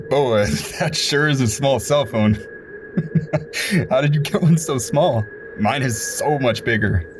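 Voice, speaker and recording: A man's voice: mid-range voice, animated, extremely slow, noisy background. An actor playing a nervous young man who tags along with his friend, teasing him and worrying about getting caught. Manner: teasing, laughs easily, worried. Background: music.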